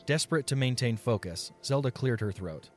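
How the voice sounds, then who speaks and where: A man's voice: mid-range voice, expressive, normal speed. A man reading scripted story narration for a fantasy audio drama. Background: soft music.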